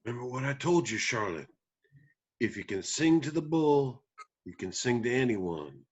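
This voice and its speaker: Country musician father played by a man, husky mid register, encouraging, table-read drama. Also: mid-range voice, very animated, moderate pace. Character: encouraging country musician father coaching his little girl.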